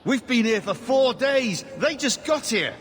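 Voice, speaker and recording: A man's voice: very high voice, expressive, unhurried, fairly bright tone, exaggerated voice. An actor playing an aggrieved, indignant male refugee in a video game. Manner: protesting, indignant. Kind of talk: video game character acting. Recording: long reverb, faint noise.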